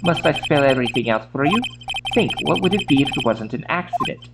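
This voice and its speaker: A woman voicing a cold, imperious female villain from a visual novel, slow, disdainful and menacing. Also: extremely low pitch, expressive, heavy background noise. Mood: dismissive. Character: cold, menacing, imperious woman studio boss, toying with opponents. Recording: loud music under the voice.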